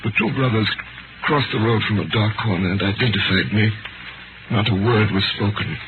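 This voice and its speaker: An actor playing an imprisoned French doctor in a 1930s radio drama, delivering a grave, deliberate, bitter testament. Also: male voice, fairly low pitch, close to an everyday voice. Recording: scratchy, tinny 1938 radio broadcast, loud noise.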